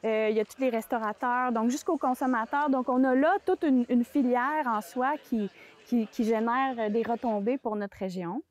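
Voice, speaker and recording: A woman's voice: on the high side, normal speed, a little mellow. A Québécois woman, an expert on the mushroom sector, explaining it in an interview with frequent fillers and restarts. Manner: hesitant, many fillers and restarts.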